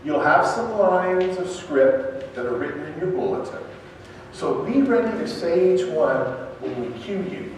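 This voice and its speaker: A man's voice: fairly high pitch, lively intonation, unhurried. A man narrating a scripture dramatization to a congregation in a church. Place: large church interior. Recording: echoey church hall from far off, faint noise.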